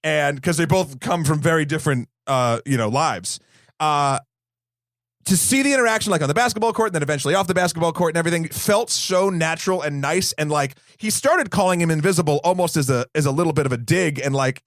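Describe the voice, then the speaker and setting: Keen, fairly high-voiced man co-host. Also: a little fast, dry recording, clean studio sound. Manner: enthusiastic, casual, frequent "like" and restarts. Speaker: podcast co-host. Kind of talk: casual film discussion.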